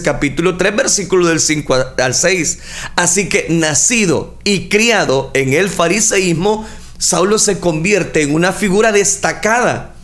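A man preaching a sermon, speaking earnestly and addressing the listeners directly, with frequent pauses and fillers. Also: sits high, a bit bright. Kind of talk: sermon on scripture.

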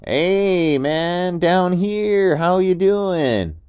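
A laid-back little storybook gecko, played by a man, pitched up and drawling slowly. The gecko is friendly and easygoing, talking like a carefree surfer dude. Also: lively intonation, a little mellow, smooth, no echo, clean studio sound, exaggerated voice. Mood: breezy.

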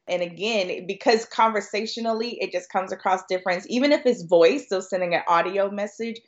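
Female podcast guest, American, mid-range voice, conversational expert delivery, slightly muffled sound. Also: mid-range voice. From Florida, United States. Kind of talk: conversational podcast interview answers.

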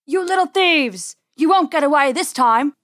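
A woman voicing an angry, menacing male farmer, the villain of a children's storybook, with drawn-out threats. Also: a little high, fairly bright tone, no room sound, studio quality. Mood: furious.

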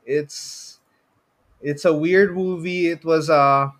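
A man's voice: on the high side, steady tone, slow, a bit bright. A man with a Filipino accent co-hosting a podcast in a casual, conversational style. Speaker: podcast co-host. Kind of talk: casual chat about movies.

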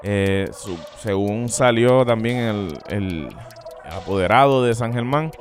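A man with a Puerto Rican accent co-hosting a casual sports podcast, teasing his partner and often repeating himself in quick denials. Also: lowish voice, soft background music. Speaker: podcast co-host.

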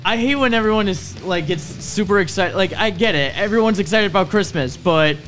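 A man's voice: high voice, brisk, a bit bright, faint music behind. A man on a podcast panel, bantering casually and cursing often. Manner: profane.

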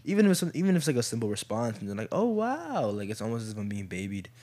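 A young man from Kenya co-hosts a casual podcast. His voice is very deep, and he comes across as supportive, often chiming in with short words of agreement. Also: huge pitch swings, very fast, warm tone. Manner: supportive, frequent short affirmations. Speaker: podcast co-host. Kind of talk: casual conversational chat.

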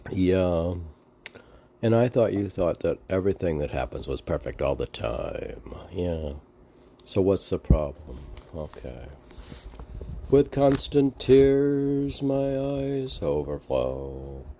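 A man reading poetry aloud with halting, musing, informal commentary, often answering his own questions. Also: deep voice, sing-song, mellow, slight background noise. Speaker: reader and commentator of mystic poetry.

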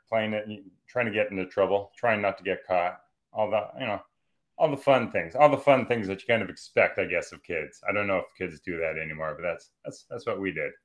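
A man in his forties from western Canada, talking casually as a podcast guest, rambling and correcting himself with jokey asides. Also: lowish voice. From Calgary, Alberta, Canada. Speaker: guest, professor and writer. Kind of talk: casual conversational interview.

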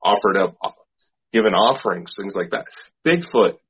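Low husky man explaining folklore, lo-fi. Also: lively intonation. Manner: measured, explains folklore, unscripted. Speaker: podcast co-host. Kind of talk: casual chat. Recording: dull lo-fi.

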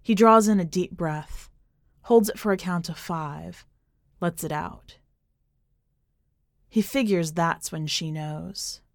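A woman's voice: on the low side, slow, pauses often, dry recording, clean studio sound. A woman podcast host who reads fiction aloud as a narrator and comments on it. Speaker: podcast host and editor reading a short story.

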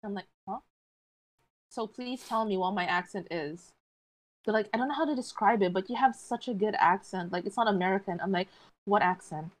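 A woman co-hosting a podcast in casual chat, telling stories excitedly and saying "like" a lot. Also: medium pitch, a little fast, dry recording, poor audio. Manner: excitable, animated storyteller, lots of "like". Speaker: podcast co-host.

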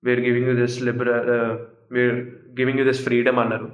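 A man's voice: mid-range voice, monotone, very fast, reverberant, muffled recording. A young man from South India chatting as a podcast guest, talking freely with laughs and slipping into Telugu. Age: young adult. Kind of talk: casual chat.

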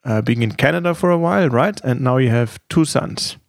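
A man's voice: medium pitch, animated, a little fast, gravelly, no room sound, studio quality. A man hosting an interview podcast, introducing his guest and prompting him conversationally. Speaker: podcast host.

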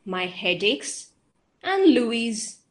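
A woman playing a suspicious, earnest scientist in an audio drama, speaking in a deliberate, measured way. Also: a little high, big pitch swings, exaggerated voice. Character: suspicious, earnest female scientist, quietly insistent.

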